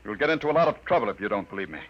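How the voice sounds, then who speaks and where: An actor playing an indignant, insistent doctor and galactic citizen in a 1950s radio drama. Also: male voice, on the low side, big pitch swings, rapid-fire, highs cut off. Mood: urgent, pleading. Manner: insistent, urgent delivery.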